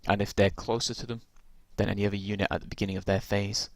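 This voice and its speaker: A man casually walking through tabletop game rules, rambling with lots of ums and restarts, his voice gone croaky from talking so long. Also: lowish voice, little pitch movement, fast talker, no echo.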